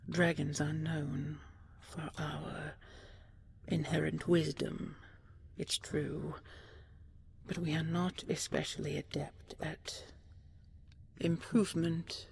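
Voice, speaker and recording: A woman playing an ancient dragon queen in an intimate sleep roleplay, tender, regal and wistful, speaking softly and breathily in slow, stately phrases, often half-whispering. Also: sits low, little pitch movement, gravelly, a little hiss or hum, exaggerated voice. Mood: wise, wistful. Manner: slow, measured, stately, with lingering pauses.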